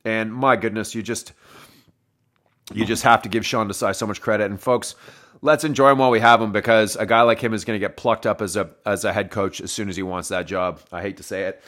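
Solo sports podcast host, man, lowish voice, hoarse and wheezy, rambling, talks to his listeners. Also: fairly even intonation, a little fast, fairly bright tone. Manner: rambling, self-correcting, addresses listeners as folks. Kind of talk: solo game review.

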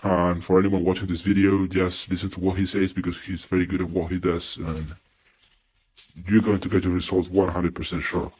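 A man's voice: low-pitched, flat delivery, brisk, darkish tone, slightly rough. A man from Spain with a mixed Spanish and American accent, a native Spanish speaker giving a casual, hesitant testimonial. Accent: mix of Spanish and American. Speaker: student giving a coaching testimonial.

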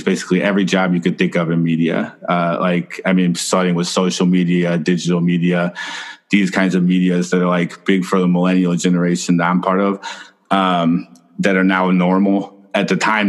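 Deep-voiced guy in his 30s, chatty guest, lots of ums and restarts. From Chile, raised in Missouri. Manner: chatty, with fillers and self-corrections. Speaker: guest, congressional correspondent. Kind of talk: casual interview talk.

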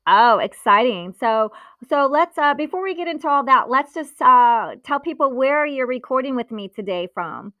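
A woman's voice: high-pitched, expressive, normal speed, no room sound, lo-fi sound. A woman hosting an interview over a remote call, bubbly and chatty, laughing often and using lots of fillers. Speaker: host. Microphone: remote call from home.

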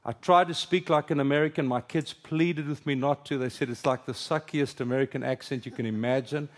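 A man's voice: mid-range voice, steady tone, fairly quick. Afrikaans-accented man preaching, middle-aged. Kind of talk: conference sermon and prayer.

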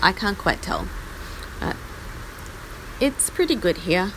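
An Australian woman in her forties, husky from a chest infection, rambling through a self-deprecating update from a small outdoor patio. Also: medium pitch, wildly swooping pitch, slow pace, noisy background. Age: 40s. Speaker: podcast host. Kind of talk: casual personal update. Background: faint rain.

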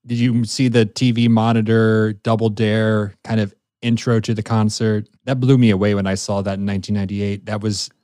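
Man hosting a podcast, eager fan asking questions, low mellow voice, dry close mic. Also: level tone, moderate pace, few pauses, no room sound. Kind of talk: casual interview chat.

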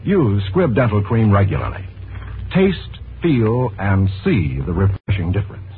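A man's voice: lowish voice, very animated, measured pace, slight background noise. A man announcing and reading scripted sponsor copy on 1940s radio, polished and emphatic like a salesman. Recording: tinny narrow-band 1940s radio broadcast.

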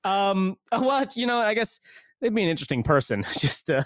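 A man's voice: high voice, very animated, normal speed, fairly bright tone, no echo, studio quality. A man hosting a casual podcast, chatting loosely with plenty of fillers and restarts. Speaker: podcast host.

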